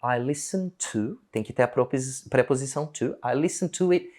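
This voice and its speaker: An Australian man who speaks Portuguese with an English-speaker's accent, giving a casual online English lesson and slowing down for his English examples. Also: mid-range voice. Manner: animated, switches between Portuguese and slow English examples. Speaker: English teacher.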